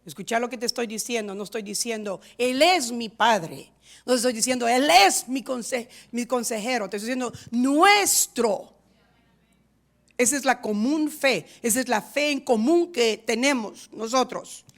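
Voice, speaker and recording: A woman pastor preaching a sermon in a rhythmic, repetitive style, moving between loud stressed lines and quiet asides. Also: a little high, measured pace. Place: church in San Diego.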